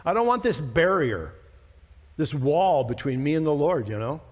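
American man, preacher, informal verse-by-verse teaching, rhetorical questions, fairly high pitch, long room reverb. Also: big pitch swings, moderate pace. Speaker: pastor. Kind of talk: conversational verse-by-verse Bible teaching. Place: church sanctuary stage, indoors. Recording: long reverb of a church hall.

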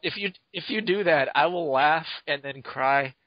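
A man's voice: fairly high pitch, moderate pace, no echo. A man hosting a podcast, chatting casually with crude teasing banter. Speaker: podcast host. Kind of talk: casual chat. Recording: dull lo-fi dry podcast mic.

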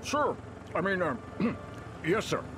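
A jittery rookie policeman in a comic game cutscene. The voice is high and swoops about, flustered, in an echoey space. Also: big pitch swings, slow, heavy background noise, full character voice. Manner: flustered, hesitant. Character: nervous, bumbling, eager young male police officer. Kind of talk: animated video game cutscene acting. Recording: echoey, music behind.

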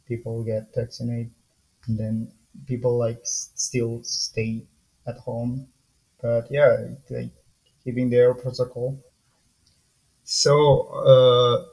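A young male podcast host who speaks slowly in a level, even tone. His questions come out loose and casual, with plenty of filler words. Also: medium pitch, vocal fry, low-quality recording.

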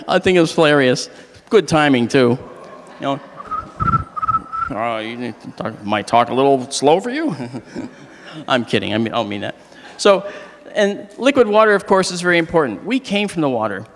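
A middle-aged man with a slightly hoarse voice gives a joking, animated astronomy lecture on stage into a handheld mic. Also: mid-range voice, normal speed, pauses often. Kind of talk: public lecture with jokes. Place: large hall, on stage. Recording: long reverb of a large hall.

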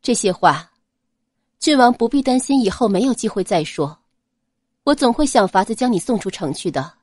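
A composed young noblewoman from an audio novel speaks calmly and holds her feelings back, as a woman reads her. Her voice moves in a lively way, and the recording is close and dry. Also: a little high, moderate pace, slightly rough, no echo. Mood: cool. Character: cool, guarded young noblewoman. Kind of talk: audiobook character voice.

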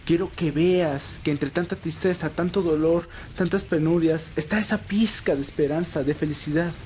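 A man's voice: a little high, normal speed, darkish tone, lightly acted. An earnest vampire played by a man pleads dramatically with his old friend in an audio drama. He is loyal and anguished, with faint noise behind him. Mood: pleading, sorrowful. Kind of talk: amateur Spanish audio roleplay drama.

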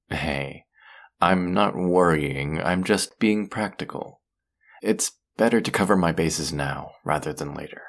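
A young man plays a worn-out college boyfriend in an intimate audio roleplay. His voice is extremely low with a gravelly fry. He talks slowly and softly, drowsy, hesitant and affectionate. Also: heavily acted. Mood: sleepy, affectionate. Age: young adult. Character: tired, overworked, affectionate college-student boyfriend.

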